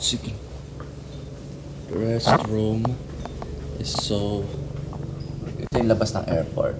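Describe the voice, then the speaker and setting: A man's voice: lowish voice, steady tone, slow. A Filipino man chatting casually and informally as a travel vlogger, switching between two languages. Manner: informal, mixes Tagalog and English. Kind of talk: casual vlog chat. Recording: very noisy handheld travel recording, ambient din.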